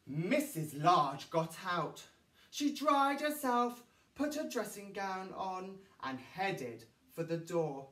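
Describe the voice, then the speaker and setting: A man's voice: sits high, sing-song, slow pace, pushed and bright. A man in his thirties reading a storybook to children in an exaggerated, sing-song way. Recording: roomy echo, distant microphone.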